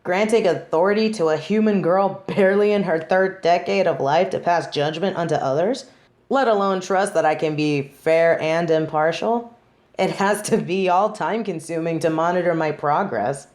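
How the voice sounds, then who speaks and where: A woman's voice: lowish voice, moderate pace, darkish tone, close to an everyday voice. A woman playing a haughty, sardonic young female judge in a dramatic audio roleplay, speaking in formal, imperious, theatrical phrases. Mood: wry, teasing. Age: young adult.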